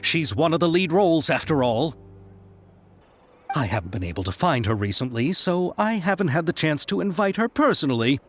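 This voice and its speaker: An actor playing a modest, earnest adult film director who politely shares credit. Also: male voice, fairly high pitch, sing-song, moderate pace, a little hiss or hum. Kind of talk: video game voice acting. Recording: game audio with music.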